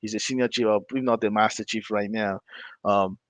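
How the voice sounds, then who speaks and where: A man's voice: on the low side, steady tone, fairly quick, fairly bright tone, no echo. A middle-aged man from Nigeria, hosting a podcast and telling his own story in a loose, rambling way, often correcting himself mid-sentence. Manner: rambling, self-correcting, laughs between points. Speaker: podcast host. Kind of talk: casual storytelling chat.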